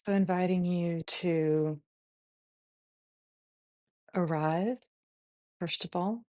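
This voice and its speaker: A woman guiding a meditation, giving gentle instructions in short phrases with long pauses between them. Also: lowish voice, fairly even intonation, dry recording. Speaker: meditation guide. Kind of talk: guided body scan meditation.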